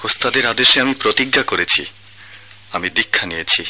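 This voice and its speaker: A man's voice: fairly low pitch, lively intonation, brisk, sharp tone, heavily acted. A Bangladeshi man playing an earnest, tormented young music disciple, solemn and imploring, in theatrical radio drama.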